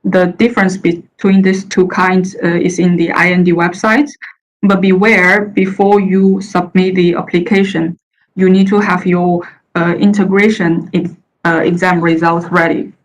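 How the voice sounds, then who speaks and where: A woman's voice: lowish voice, level tone, unhurried, slight background noise, poor audio. Woman, native Chinese speaker, hesitant talk. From China. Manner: hesitant, many uh fillers and restarts. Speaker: panel speaker. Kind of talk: presentation talk. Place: the Netherlands.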